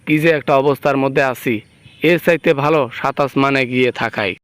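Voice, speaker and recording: Man playing a frightened whining prisoner, clear voice. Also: medium pitch, brisk, a bit bright, no room sound, lightly acted. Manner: stammering, pleading, comically exaggerated complaints. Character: frightened male prisoner, guilty, whining, woken by dream caller. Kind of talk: amateur comic phone-call skit.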